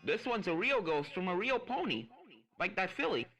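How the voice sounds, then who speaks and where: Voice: medium pitch, very animated, normal speed, a bit bright. Narrator as a helpful male professor pony, music behind. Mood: cautioning. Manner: instructive, chatty, encouraging. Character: Professor Smart Spirit, calm helpful male pony scientist. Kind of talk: fan fiction storybook voice.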